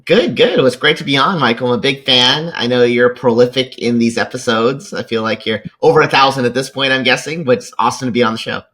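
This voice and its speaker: Man, forties, American accent, mid-range pitch, enthusiastic, conversational interview guest. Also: fast talker, hardly any pauses, pushed and bright. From rural Kentucky, United States. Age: 40s. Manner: enthusiastic, peppers points with "right?". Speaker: podcast guest, venture capitalist and lecturer.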